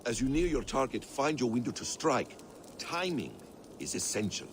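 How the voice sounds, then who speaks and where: An actor playing a wise, measured mentor of a secret assassin brotherhood, speaking formally as he instructs in a video game. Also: mid-range voice, sing-song, noisy background, lightly acted. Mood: calm, guarded. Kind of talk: video game cutscene acting.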